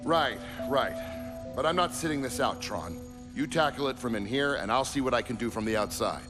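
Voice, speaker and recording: An actor playing an easygoing, self-critical creator of a digital world in a video game, speaking casually. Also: male voice, mid-range voice, moderate pace, lightly acted. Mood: earnest. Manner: relaxed, conversational. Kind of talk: video game cutscene acting. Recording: reverberant with game music.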